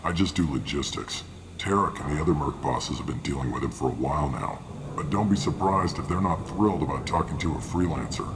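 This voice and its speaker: An actor playing a gruff, weary, matter-of-fact male mercenary briefing hired guns in a video game. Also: extremely low pitch, expressive, brisk. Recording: clearly noisy game ambience behind.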